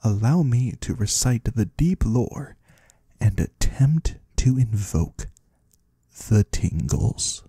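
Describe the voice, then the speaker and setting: Guy doing a soft husky asmr void merchant, otherworldly and polite, really slow but with lilting pitch. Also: very animated, dry recording, exaggerated voice. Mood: gentle concern. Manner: slow, formal, soothing. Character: mysterious, courteous otherworldly male trader from the void. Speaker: ASMR performer. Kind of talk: ASMR roleplay, soft-spoken.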